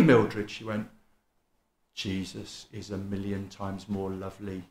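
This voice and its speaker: A middle-aged man with an East Midlands accent tinged with Welsh, preaching a sermon at a lectern in a small church hall, animated and chatty, acting out what people say. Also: a little high. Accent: East Midlands English with some Welsh. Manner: animated, conversational, self-mocking, acts out people talking. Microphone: stand mic at a lectern, filmed by a camera across the room.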